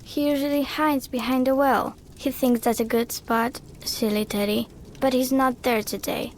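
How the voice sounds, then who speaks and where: A woman's voice: high voice, steady tone, normal speed, darkish tone, a little hiss or hum, poor audio. A woman voicing a sweet, trusting little girl in a fairy-tale game, with a simple childlike manner. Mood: plaintive. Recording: music behind the voice.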